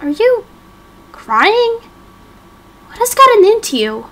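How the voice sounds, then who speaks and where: A cocky, excitable seven-year-old boy, played by a woman, very high in pitch. He is eager and boastful in an amateur game roleplay, over some background noise. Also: takes it slowly, heavily acted. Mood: cocky, insistent.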